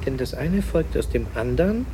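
Pedantic professor type, mid pitch, measured lecturing, radio play, noisy behind him. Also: male voice, big pitch swings. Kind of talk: 1990s radio play dialogue.

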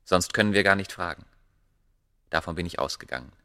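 An actor playing a proud, obsessive ancient scholar, speaking gravely and declaiming in a German radio play. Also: male voice, deep voice, pushed and bright, no room sound, clean studio sound. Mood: grave, intense. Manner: grave, declamatory, deliberate phrasing.

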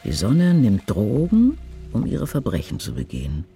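A grim prophet-like narrator in a radio poem drama. The voice is low and declaims short, incantatory phrases of apocalyptic vision. Music plays prominently underneath. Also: male voice, huge pitch swings, normal speed, mellow. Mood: intense, stark. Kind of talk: dramatic poetry recitation in a radio play.

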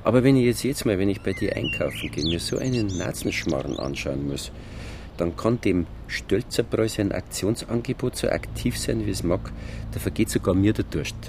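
Gravelly old Bavarian grumbler. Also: male voice, lowish voice, expressive, normal speed, darkish tone, a little hiss or hum. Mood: indignant grumbling. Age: older. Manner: wry, bantering, crude. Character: grumbling, joking older male regular customer at a kiosk. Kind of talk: radio drama acting in dialect.

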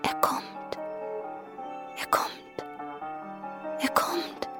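An actress playing a young woman in a radio play who waits obsessively for her lover, breathy, yearning and defiant, repeating her phrases. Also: drawn-out words, music in the background. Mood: feverish hope. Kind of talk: intimate radio play monologue.

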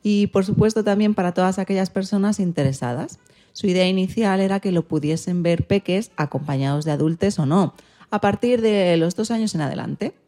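A woman's voice: mid-range voice, a little fast, mellow. A woman presenting a magazine show, partly reading from a script.

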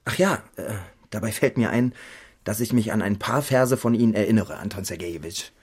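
A man's voice: on the low side, fairly quick, a little mellow, husky. An actor playing a guarded young Russian émigré man in a German radio drama.

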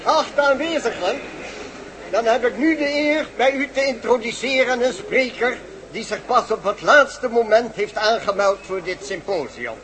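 A man's voice: very high voice, expressive, a little slow, noisy background. An actor playing a stuffy, sceptical male professor in a radio play, with a pompous, fussy manner. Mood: pompous, formal. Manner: pompous, fussy, hesitant stammering.

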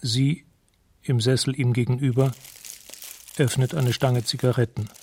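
A man's voice: mid-range voice, steady tone, measured pace, darkish tone, slight background noise. A man narrating a radio drama in a detached, precise, scene-setting way.

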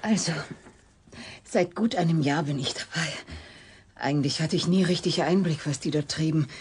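A woman acting an intimate young lover in a German radio drama, with a breathy, husky voice and a hushed, hesitant, confiding manner. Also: low voice, moderate pace, slight background noise, close to an everyday voice. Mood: haunted, confiding.

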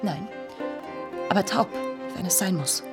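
An actress in a German radio drama playing a virtuous married bourgeois lady, measured, reserved and coolly moralising. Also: fairly low pitch, music in the background, close to an everyday voice. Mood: restrained, firm.

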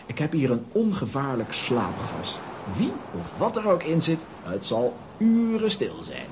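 An actor playing an excitable adventurer in a children's radio play, with expressive, exclamatory delivery. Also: male voice, a little high, a little slow, noisy background, full character voice. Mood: amazed, reassuring.

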